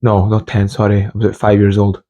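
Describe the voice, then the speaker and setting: A young man from Scotland and Hungary giving an informal motivational monologue with personal stories, speaking haltingly and repeating phrases for emphasis. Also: lowish voice, monotone, mellow. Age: young adult. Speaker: motivational video host.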